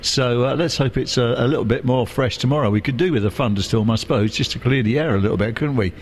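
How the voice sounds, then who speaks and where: Wheezy radio DJ with a cough. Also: male voice, mid-range voice, fast, a little hiss or hum. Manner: chatty, hesitant with fillers. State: has a cough, slightly wheezy. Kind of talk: casual radio presenting.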